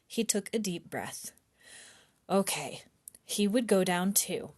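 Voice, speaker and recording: A woman reading a children's chapter book aloud in an expressive storytime style. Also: mid-range voice. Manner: slow, clear, animated storybook delivery.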